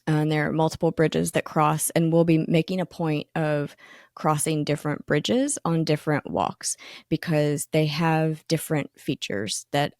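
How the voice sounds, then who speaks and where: A woman's voice: sits low, a little slow, a little mellow, no room sound, studio quality. A woman co-hosting a podcast in a casual, enthusiastic chat, with frequent ums and restarts. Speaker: magazine editor, co-host.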